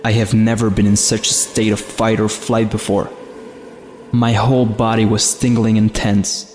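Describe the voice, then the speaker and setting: A man's voice: lowish voice, little pitch movement, normal speed, darkish tone, a little hiss or hum. A man reading a scripted first-person horror story as its narrator. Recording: long reverb, faint music behind. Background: faint music.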